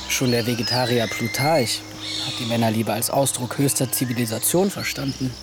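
An actor in a German radio play playing an exuberant, self-assured nature devotee with a florid, declamatory, self-satisfied manner. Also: male voice, mid-range voice, noisy background, natural voice. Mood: elated, frank. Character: exuberant, self-assured male nature-cult devotee confessing his passions.

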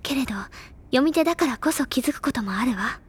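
A woman playing a wise, bookish older schoolgirl who speaks in a measured, solemn, literary storytelling manner. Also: sits high, lively intonation, husky, heavily acted. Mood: calm, solemn. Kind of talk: dramatic storytelling monologue. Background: music.

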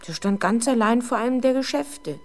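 A young woman playing a frightened, defensive teenage girl under police questioning in a 1970s German radio drama, halting and easily exasperated. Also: on the high side, expressive, darkish tone, a little hiss or hum. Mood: haunted, agitated. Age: young adult. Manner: hesitant, halting, exasperated when doubted.

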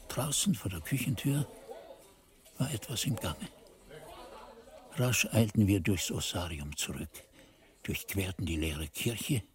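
A monk recounting dark events for a radio drama. His voice is low and grave, the narration measured and literary, building suspense.

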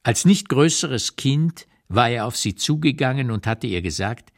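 An actor reading as an elderly male writer who looks back with unsparing candour, in a deliberate, measured literary narration for radio. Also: medium pitch, expressive. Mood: reflective, candid.

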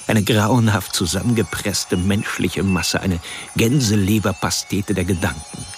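An actor playing a cold, cerebral killer who narrates his obsessions in a measured, lecturing way in a radio crime drama. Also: low voice, steady tone, noisy background. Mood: hypnotic, lecturing. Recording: music under the voice. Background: music.

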